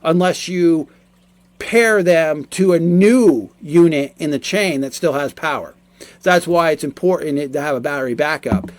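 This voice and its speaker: A man's voice: fairly high pitch, dry recording. A man giving a casual, unscripted product review in a rambling, plain-spoken way.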